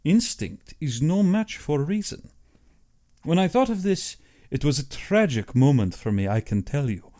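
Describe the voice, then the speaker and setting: A man's voice: on the high side, very animated, a little slow, mellow. A man voicing an urbane, boastful, cold-blooded older general and hunter, pompous and precise in an audiobook. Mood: proud, self-assured. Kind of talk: audiobook character acting.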